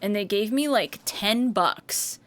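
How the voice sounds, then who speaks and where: A woman's voice: medium pitch, slow pace, fairly bright tone. An American woman, a streamer and voice actor, chatting casually and rambling, with frequent restarts, swearing and laughter. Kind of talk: casual live-stream chat.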